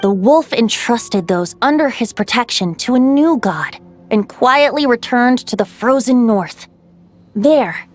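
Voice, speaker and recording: A woman playing a playful, youthful bard in a video game, telling tales in a lilting, theatrical, poetic way. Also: on the high side. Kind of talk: video game voice acting, storytelling.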